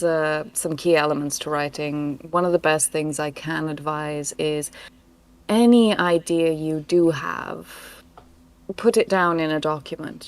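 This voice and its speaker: Slow-spoken, low-voiced Welsh woman. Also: a little mellow. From Wales. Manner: thoughtful, giggly, unhurried. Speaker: audio drama scriptwriter. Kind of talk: casual live stream chat.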